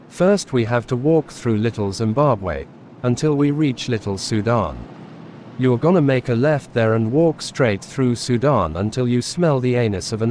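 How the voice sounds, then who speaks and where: A man doing a crude comedic voice-over narration, delivered deadpan. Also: mid-range voice, slight background noise. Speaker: channel narrator.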